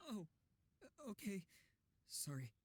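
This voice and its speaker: Nervous, halting civil servant. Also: male voice, sits high, sing-song, breathy edge, heavily acted. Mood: apologetic. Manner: halting, hesitant, painfully precise. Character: nervous, meticulous male civil servant, awkward speaker.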